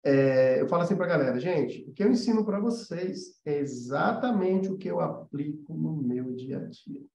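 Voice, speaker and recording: Mellow Brazilian man teaching, roomy sound. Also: fairly high pitch, expressive, normal speed, vocal fry. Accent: Brazilian Portuguese. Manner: conversational, explanatory, frequent check-in tags. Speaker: animation teacher and mentor. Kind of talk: informal livestream lesson. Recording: roomy echo, dull muffled sound.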